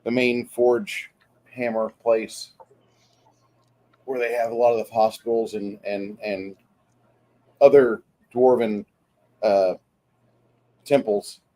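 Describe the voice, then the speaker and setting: A man running a tabletop game as game master, narrating scenes in a halting, descriptive way. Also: mid-range voice, steady tone, mellow.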